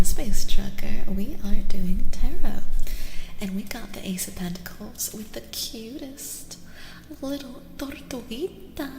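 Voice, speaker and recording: A woman giving a soft-spoken ASMR tarot reading as a stream host, breathy and often whispery, gently repeating phrases in a soothing way. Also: mid-range voice, a little hiss or hum. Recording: long echoing reverb.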